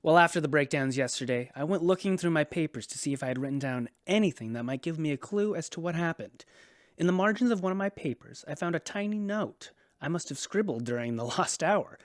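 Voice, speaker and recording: A man acting as a self-important busybody passenger in a sci-fi radio drama. He is officious and suspicious, stressing his points in a light, highish voice recorded very dry. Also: lively intonation, fairly quick, no room sound, close to an everyday voice. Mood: conspiratorial, determined. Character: officious, suspicious male passenger activist, self-appointed investigator.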